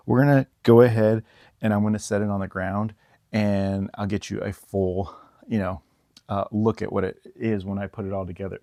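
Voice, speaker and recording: Low-voiced man, slightly gravelly, casual reviewer. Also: darkish tone, no room sound. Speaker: product reviewer.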